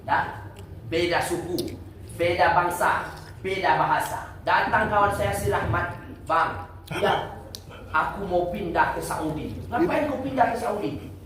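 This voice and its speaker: Animated preacher in an echoey mosque. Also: male voice, sits high, measured pace. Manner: animated, asks the audience questions and answers them himself. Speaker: Islamic preacher. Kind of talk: lively mosque sermon. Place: mosque prayer room. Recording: echoey room, distant mic, faint noise.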